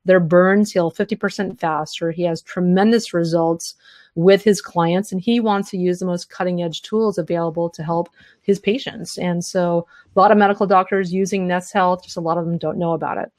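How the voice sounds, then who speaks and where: A woman's voice: fairly low pitch, fairly even intonation, a little mellow, dry recording. A woman hosting a live health webinar, answering listener questions at length with frequent fillers; she is tired and losing her voice. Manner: talks fast in long run-on answers with many fillers.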